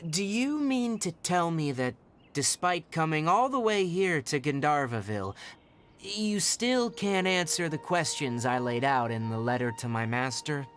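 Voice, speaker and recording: A man voicing a composed, astute young forest ranger in a video game, speaking in a measured, matter-of-fact, articulate way. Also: a little high, lively intonation, fairly bright tone, a little hiss or hum. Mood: stern, indignant. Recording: background music under the voice.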